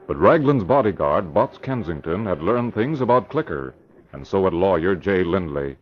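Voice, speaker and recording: Low-voiced radio serial narrator. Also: male voice, sing-song, normal speed. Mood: dramatic. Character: male crime-serial narrator. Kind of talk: dramatic scripted radio narration. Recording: muffled old radio recording, music behind.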